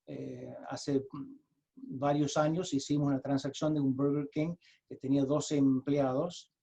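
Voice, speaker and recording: A man with an American accent, a native English speaker, giving an explanatory webinar presentation in his second language over a video call, halting and often restarting his sentences. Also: medium pitch, little pitch movement, creaky, dark tone. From United States. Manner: halting, with frequent restarts and repeated words.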